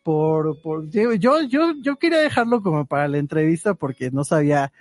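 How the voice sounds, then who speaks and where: A high-voiced man chats his way through a live stream. He teases his guests and slips in Japanese words. His voice is recorded close to the mic and sounds dry. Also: sing-song, moderate pace, no room sound. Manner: chatty, teasing, sprinkles Japanese words. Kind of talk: casual live-stream hosting.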